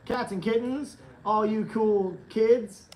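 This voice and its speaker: A hoarse man hosting a casual livestream, upbeat and rambling as he reads chat comments aloud. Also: high voice, fairly even intonation, slow pace, darkish tone, a little hiss or hum. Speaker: livestream host, training company staff. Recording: roomy, distant from the mic.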